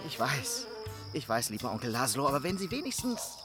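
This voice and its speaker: A man's voice: medium pitch, big pitch swings, a little fast, close to an everyday voice. An actor in a radio drama playing a meek, dutiful village policeman who pleads hesitantly and deferentially. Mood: pleading, flustered. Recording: music behind the voice.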